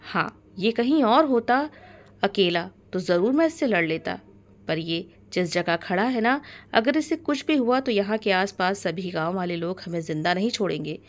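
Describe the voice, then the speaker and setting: A woman's voice: mid-range voice, expressive, normal speed, natural voice. A woman voicing a wary middle-aged father in a story, reasoning in a firm, cautioning way. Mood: cautious reasoning.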